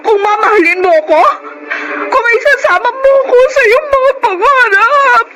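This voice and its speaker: A woman's voice: extremely high pitch, moderate pace, background noise. A woman with a Filipino accent playing a needy, lovelorn young woman in an emotional radio drama. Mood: desperate, pleading. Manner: pleading, heartfelt. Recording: music behind the voice.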